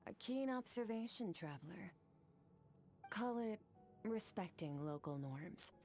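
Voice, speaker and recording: A woman voicing a stern, composed swordmaster who calmly instructs her student. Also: mid-range voice, unhurried, soft background music, natural voice. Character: stern, cool-headed woman swordmaster and mentor. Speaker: mentor. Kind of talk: game voice acting.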